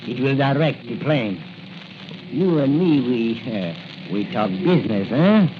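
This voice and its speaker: An actor playing a scheming, oily foreign spy captain with a foreign accent and stilted, over-polite phrasing in a 1940s radio serial. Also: male voice, medium pitch, animated, measured pace, lightly acted. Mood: oily, bargaining. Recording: noisy, muffled 1940 radio recording.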